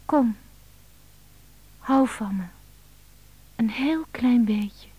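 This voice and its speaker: High-pitched, pleading lovelorn woman. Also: drawn-out words, husky, dry recording, dull audio, exaggerated voice. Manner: insistent, pleading. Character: lovelorn, needy, pleading woman telephoning a stranger. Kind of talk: radio drama acting.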